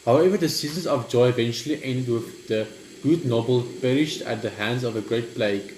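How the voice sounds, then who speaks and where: Young man, halting, in an echoey room. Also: medium pitch, a little mellow. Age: young adult. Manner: halting, stumbles when reading aloud. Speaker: gaming video host. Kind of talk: casual gameplay commentary and reading aloud. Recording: roomy echo, clear background noise.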